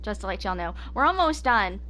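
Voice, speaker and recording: A woman's voice: mid-range voice, very animated, a little fast, sharp tone. A woman in her twenties chatting casually to viewers as she makes a video. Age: 20s. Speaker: video maker. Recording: clear background noise, clicks.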